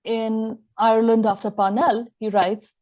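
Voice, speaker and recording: A woman giving a scholarly lecture, reading from her text in a measured way and quoting sources aloud. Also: fairly high pitch, flat delivery, highs cut off. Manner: measured, reads quotations aloud. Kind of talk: reading an academic talk.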